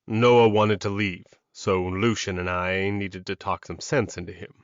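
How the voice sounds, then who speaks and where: A man voicing a calm, protective male werewolf alpha in an audiobook, measured and reassuring. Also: low-pitched, smooth, no echo, lo-fi sound. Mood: subdued.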